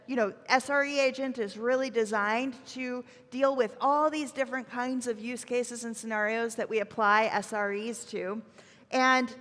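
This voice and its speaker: A woman with a fairly high voice delivers a tech keynote. She explains in a conversational manner, as a presenter talking to colleagues would. The sound carries the long reverb of a large hall. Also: a bit bright. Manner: conversational, explanatory, checking in with "right?". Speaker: keynote speaker, software executive. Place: large conference hall stage.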